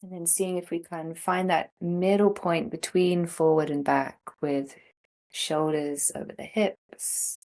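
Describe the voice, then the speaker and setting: A woman teaching a guided meditation, speaking gently in short phrases with long pauses between them. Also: lowish voice, steady tone. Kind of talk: guided meditation, slow instruction.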